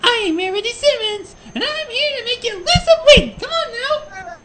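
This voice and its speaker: Shrill flamboyant fitness instructor pony. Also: male voice, animated, normal speed, heavy background noise. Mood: gushing pep. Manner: gushing, campy pep talk.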